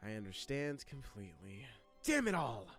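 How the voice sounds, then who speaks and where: A man voicing a dramatic, excitable, self-deprecating teenage boy in an anime fan dub, theatrical and exclamatory. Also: mid-range voice, moderate pace, faint music behind, lightly acted. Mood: panicked outrage.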